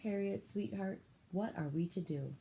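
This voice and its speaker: A woman reading a children's storybook, voicing a patient but exasperated mother with measured, tender address. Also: mid-range voice, gravelly, warm tone, a little hiss or hum. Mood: restrained exasperation. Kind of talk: children's storybook read-aloud.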